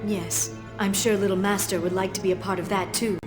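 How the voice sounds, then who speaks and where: A woman's voice: mid-range voice, flat delivery, a little fast, close to an everyday voice. A woman voicing a polite, serious female officer in a game cutscene, formal and careful in her speech. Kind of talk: video game cutscene voice acting. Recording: roomy echo with background music.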